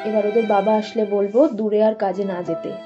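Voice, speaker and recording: A woman's voice: mid-range voice, little pitch movement, fast, natural voice. A woman playing a worried, loving village mother of young children in a dramatized doll story. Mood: wistful. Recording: muffled audio with faint music.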